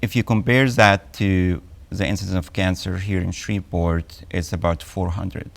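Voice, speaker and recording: Egyptian guy in his 40s, low flat gravelly voice, lots of ums. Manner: measured, with frequent ums and uhs. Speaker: oncologist guest. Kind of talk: TV health interview.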